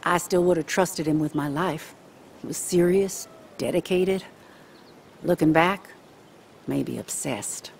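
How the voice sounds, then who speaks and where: A folksy small-town police chief in a video game, very gravelly and deep for a female voice. She is neighborly and businesslike, with faint ambience behind her. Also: slow pace, pauses often, darkish tone, a little hiss or hum, heavily acted. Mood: rueful. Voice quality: very creaky, gravelly. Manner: homespun, neighborly.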